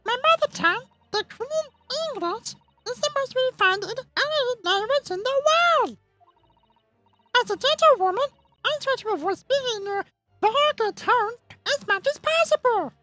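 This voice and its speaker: A streamer voicing a haughty young English gentlewoman from a video game in a squeaky cartoonish voice, prim and condescending. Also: lively intonation, normal speed, no echo, soft background music, exaggerated voice. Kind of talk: dramatic read of a video game script.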